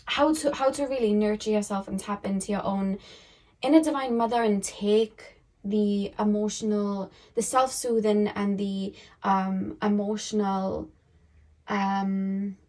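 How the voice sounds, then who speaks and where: A woman giving a casual tarot reading to her viewers. Her voice is hoarse and croaky, and she talks in a chatty, rambling way, full of "um" and "like". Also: medium pitch, steady tone, slow, darkish tone. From United Kingdom. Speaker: tarot card reader.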